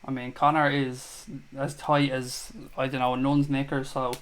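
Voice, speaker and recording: A man's voice: mid-range voice, little pitch movement, moderate pace, fairly bright tone, rough recording. A man hosting a live fan chat show, reading viewer comments aloud and answering them in a blunt, jokey, sweary way.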